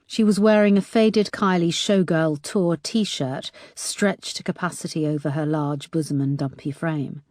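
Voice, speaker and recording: A woman reading a thriller audiobook in an even, measured way. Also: low-pitched, no room sound, clean studio sound. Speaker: audiobook narrator. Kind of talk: scripted narration.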